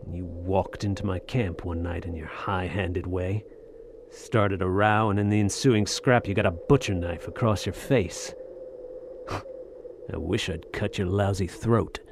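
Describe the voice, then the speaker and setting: A man playing a gruff, blunt, defiant adventurer in a pulp audio drama. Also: low-pitched, steady tone, a little slow, noisy background. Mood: bitter defiance. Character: tough, defiant male adventurer, gruff soldier of fortune.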